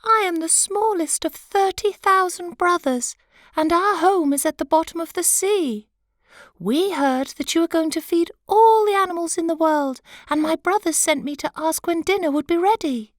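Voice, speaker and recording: Tiny sea beast for a kids story, way high voice, cheeky n greedy but polite, dry close sound. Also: female voice, normal speed, no echo. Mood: innocent, unimpressed. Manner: formal, guileless address to a king.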